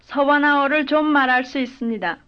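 A woman giving careful, measured full-sentence answers as the model speaker in a recorded language drill. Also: high-pitched. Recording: dull lo-fi old lesson tape.

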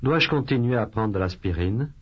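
A man voicing a patient who has a cold in a language course dialogue, speaking slowly and carefully, with repeated phrases. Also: medium pitch, expressive, breathy edge. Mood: plaintive. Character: adult male patient with a cold. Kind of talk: scripted language drill dialogue. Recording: dull lo-fi course tape.

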